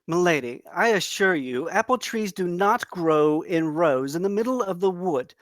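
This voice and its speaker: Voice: mid-range voice, animated, moderate pace, dry recording, natural voice. A performer at a table read playing an earnest, timid servant who speaks properly and deferentially. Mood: worried, polite. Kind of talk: table read of a comedy fantasy script.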